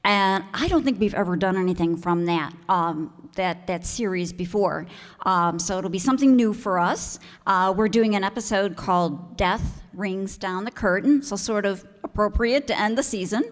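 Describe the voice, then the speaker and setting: A woman's voice: mid-range voice, moderate pace. Female director, mid-range voice, chatty stage announcement with long hall echo. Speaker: theater show director. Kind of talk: informal announcement to audience. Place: town hall stage before an audience. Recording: reverberant hall.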